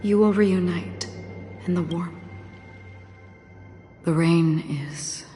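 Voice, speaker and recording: An actress voicing a solemn female watcher who guides lost souls, speaking in a calm, measured way and softly whispering at times. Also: lowish voice, soft background music. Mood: gentle. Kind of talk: game cutscene voice acting. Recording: rain noise behind the voice.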